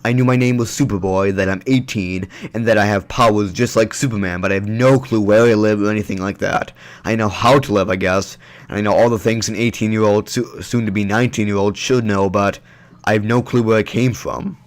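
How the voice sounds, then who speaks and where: A man's voice: on the low side, fairly even intonation, fairly quick, close to an everyday voice. A man playing an earnest eighteen-year-old superhero with no memory in an amateur audio drama. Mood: earnest, puzzled.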